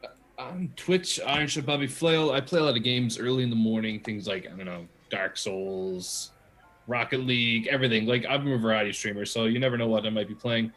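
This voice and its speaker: A man's voice: mid-range voice, brisk, a bit bright, faint music behind. A man who streams games, chatting casually as a player. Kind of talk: casual chat.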